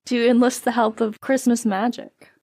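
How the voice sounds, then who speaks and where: A woman from a library podcast asking questions in a bright, encouraging interview style. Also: on the high side, flat delivery, normal speed, no echo, clean studio sound.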